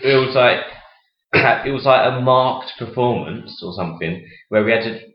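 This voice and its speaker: A young man with a deep voice hosting a casual podcast, talking hesitantly with many pauses and ums. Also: expressive. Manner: hesitant, fills with "um". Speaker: podcast host. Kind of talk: casual chat. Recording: echoey room, dull lo-fi sound.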